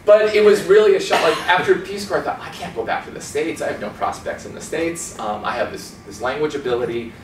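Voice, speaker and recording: A man's voice: on the high side, a little fast, hardly any pauses, background noise. A man in his forties giving an informal, chatty talk with slides, gesturing and mimicking voices as he tells stories. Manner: chatty and animated, gestures a lot, mimics accents. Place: small conference room. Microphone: far camera. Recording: roomy sound from a far camera.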